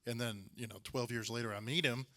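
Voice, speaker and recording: Man, fast pace, very bright forceful voice, casual public banter. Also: mid-range voice, fairly even intonation, a little hiss or hum. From Arkansas, United States. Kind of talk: casual banter before an audience.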